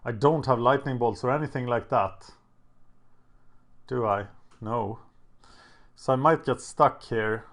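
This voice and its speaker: A man with a Swedish accent talks through his card game as he plays, thinking aloud in a chatty way. His voice sits at an ordinary middle pitch. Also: measured pace, pauses often.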